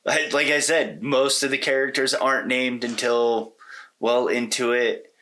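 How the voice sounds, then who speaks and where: A man's voice: mid-range voice, fairly even intonation, unhurried, bright, forceful tone. A man co-hosting a casual discussion about films, talking in an informal, slangy way. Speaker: podcast co-host. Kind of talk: casual conversational movie discussion.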